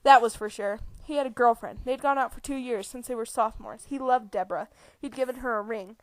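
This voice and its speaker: A woman's voice: a little high, a little fast, dry recording, rough recording. A young woman giving an amateur dramatic reading of a novel, prone to giggling and stumbling over words. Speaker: amateur reader.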